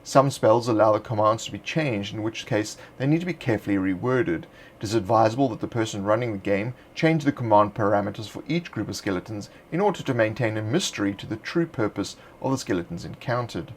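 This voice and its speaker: A man narrating a script in a measured, deliberate reading voice. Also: lowish voice, expressive, slight background noise. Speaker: narrator of a game supplement.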